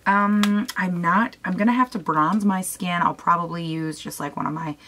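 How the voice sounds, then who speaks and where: A young woman with a raspy voice chatting casually through a makeup tutorial at home, gushing and talking to herself as she goes. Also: on the low side, moderate pace, pushed and bright. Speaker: beauty vlogger. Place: small room at home, indoors.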